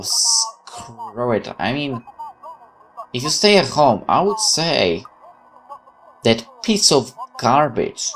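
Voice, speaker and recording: A man's voice: fairly low pitch, fairly bright tone, low-quality recording. A man hosting a gaming video, reading visual novel text aloud and adding casual commentary.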